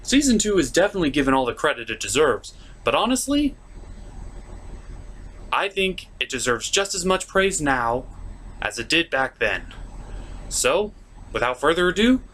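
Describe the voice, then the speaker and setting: A man hosts a casual cartoon review in a very animated voice. His delivery is theatrical and emphatic, pitched a little high for a man. Some noise sits behind the voice. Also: moderate pace, pauses often. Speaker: cartoon reviewer and show host. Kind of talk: casual scripted review commentary. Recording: noticeable background noise.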